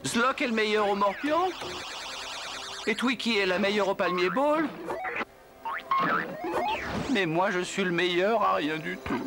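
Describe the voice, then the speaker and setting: A man's voice: sits high, expressive, a little slow, pauses often. An actor playing a naive, eager, self-doubting male creature in a French cartoon, earnest and exaggerated. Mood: discouraged. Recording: loud music behind.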